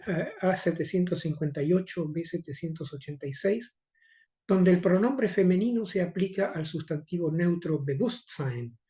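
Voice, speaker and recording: Older Argentine-accented male academic, mellow tone, muffled conference recording. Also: high-pitched. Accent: Rioplatense Spanish. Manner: measured, formal reading with occasional hesitations. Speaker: conference speaker. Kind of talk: reading an academic lecture.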